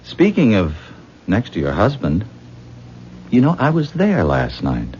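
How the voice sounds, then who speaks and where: A muffled, lightly hissy 1970s radio drama recording of an earnest, self-deprecating man. His voice sits low and moves freely up and down as he hesitates and marvels. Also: huge pitch swings, a little slow, darkish tone. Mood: self-effacing. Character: self-doubting, star-struck ordinary man confiding in a woman.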